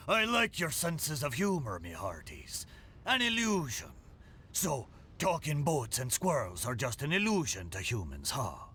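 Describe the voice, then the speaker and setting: A man's voice: mid-range voice, huge pitch swings, a little slow, bright, forceful tone, a little hiss or hum, heavily acted. A man voicing a jolly, boastful talking ship for a video game, with hearty, theatrical pirate slang. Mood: jovial, reflective. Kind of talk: video game character voice acting. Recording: music under the voice.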